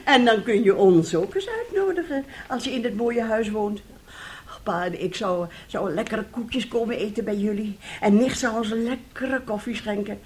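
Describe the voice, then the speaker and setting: A woman's voice: fairly high pitch, normal speed, natural voice. An actress playing a domineering, scheming, self-pitying middle-aged mother in a radio drama, wheedling and scolding theatrically.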